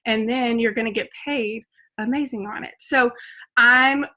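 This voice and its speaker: A woman hosting a video call, making an enthusiastic, persuasive pitch with plenty of fillers. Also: steady tone, measured pace, noisy background. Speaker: host.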